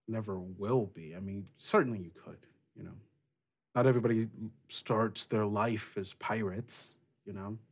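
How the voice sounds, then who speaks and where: A man voicing a frank, rough-edged female pirate captain in a casual, halting, sweary way for a tabletop game. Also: on the low side, darkish tone.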